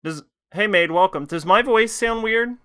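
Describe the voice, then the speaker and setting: A man's voice: high-pitched, normal speed, pushed and bright, clear, resonant tone. A man streaming live, chatting casually and ramblingly with his viewers. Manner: chatty, rambling, talks to his chat and answers questions.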